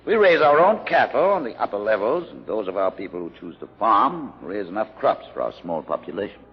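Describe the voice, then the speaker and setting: An actor playing an intelligent mutant leader in an old radio drama, speaking in a courteous, measured, formal way with cool pride. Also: male voice, lowish voice, sing-song, breathy edge, full character voice. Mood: proud. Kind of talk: 1950s radio drama acting. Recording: muffled old radio recording, long reverb.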